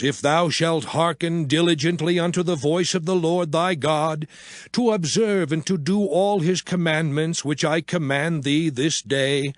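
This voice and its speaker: An actor playing Moses as a solemn, commanding prophet, declaiming in measured phrases in a dramatized scripture reading. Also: male voice, fairly high pitch, dry recording, clean studio sound, natural voice. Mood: solemn, proclaiming.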